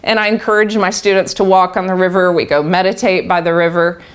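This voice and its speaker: A woman's voice: lowish voice, flat delivery, brisk, clear, resonant tone. A middle-aged woman telling a group her own story, laughing often and restarting her sentences. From Texas. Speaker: guest speaker. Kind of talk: personal talk to a live group.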